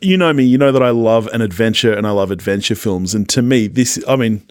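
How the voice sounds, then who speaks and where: A man's voice: on the low side, brisk, clean tone, no room sound, studio quality. A man co-hosting a podcast, chatting casually about films in an enthusiastic, jokey way. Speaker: podcast co-host. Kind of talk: casual film discussion.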